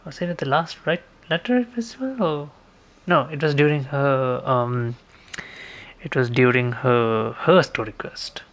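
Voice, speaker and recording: A woman's voice: a bass voice, a little slow. A woman giving casual commentary while playing a game, with game music behind her. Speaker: game streamer.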